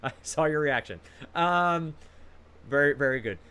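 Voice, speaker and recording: A man's voice: on the high side, animated, unhurried, pushed and bright, clean tone. A man hosting a trivia quiz over a video call, reading out questions with casual, encouraging banter between them.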